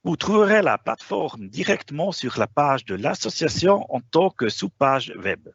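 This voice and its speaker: A man presenting a professional webinar in a language that is not his first, stopping often on hesitations. His voice rises and falls a lot and carries a gravelly, slightly husky texture. The sound is dry and a bit dull, like a lo-fi online call. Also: on the high side, very animated, moderate pace, no room sound. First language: not French. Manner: hesitant, frequent "euh" fillers.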